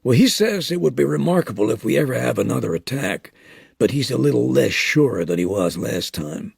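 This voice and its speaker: A man's voice: mid-range voice, lively intonation, brisk, gravelly, slightly rough, no room sound, studio quality, pitched above the performer's own voice, heavily acted. A man voicing an easygoing small-town newspaper editor with a matter-of-fact manner. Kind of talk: audiobook character reading.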